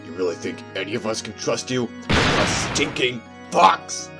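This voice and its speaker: A man playing a gruff, boastful wolf witness with a sneering, blunt manner in an audio drama. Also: on the low side, quick pace, over loud music, lightly acted. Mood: angry, sneering. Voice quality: gravelly. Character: gruff, hot-tempered, boastful, insulting male wolf witness. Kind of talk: amateur audio drama acting.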